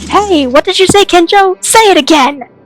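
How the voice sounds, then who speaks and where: A woman's voice: sits high, a little fast, a bit bright, soft background music. A woman voicing a hot-tempered, indignant young student in a drama. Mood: outraged.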